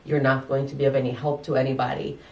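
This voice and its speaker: A woman doctor from Ukraine, explaining calmly and giving advice in an interview. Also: rumbling low, quick pace, reverberant, recorded from a distance, lo-fi sound. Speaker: doctor and medical guest.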